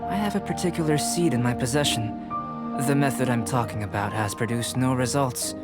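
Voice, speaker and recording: Soft-spoken young alchemist guy, actor voicing him, formal and clinical, music running under him. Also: medium pitch, a little fast, close to an everyday voice. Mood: calm. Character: calm young male alchemist, curious and precise. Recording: game audio with clear music behind.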